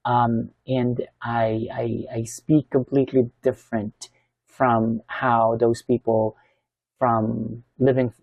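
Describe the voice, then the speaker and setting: A man's voice: mid-range voice, little pitch movement, slow pace, gravelly, dry recording, lo-fi sound. A Filipino man in his thirties or forties, a native Ilonggo speaker with a Filipino accent, chatting casually as he reacts to a video and repeats facts back to himself. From Philippines.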